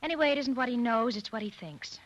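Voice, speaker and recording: An actress playing a heartbroken but resolute woman in an old radio drama, earnest and halting. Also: on the high side, lively intonation, dull audio. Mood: pained, resolute. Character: heartbroken, resolute woman ending a secret love affair. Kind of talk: 1940s-50s radio drama acting.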